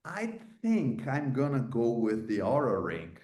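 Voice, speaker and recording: A man's voice: medium pitch, huge pitch swings, measured pace, darkish tone. A man who is a native Portuguese speaker, explaining his points conversationally as an interview guest. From Brazil or another developing Portuguese-speaking country. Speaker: guest expert and author.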